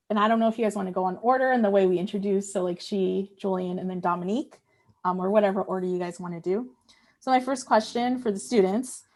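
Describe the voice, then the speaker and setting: A woman moderating a panel, loose and informal as she introduces speakers. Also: medium pitch. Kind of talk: hosting a panel.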